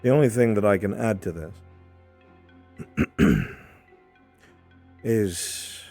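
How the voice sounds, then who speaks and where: Man playing a low, measured fantasy intrigue schemer. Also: dark tone. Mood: measured, businesslike. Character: measured, shrewd male informant in fantasy court intrigue. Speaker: roleplayer. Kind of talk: improvised fantasy roleplay dialogue. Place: online VR voice chat. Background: music.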